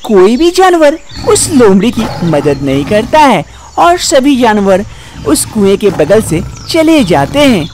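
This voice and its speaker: A woman's voice: on the high side, very animated, slow pace, a little mellow, a little hiss or hum. A woman narrating a scripted animal fable for children as a storyteller.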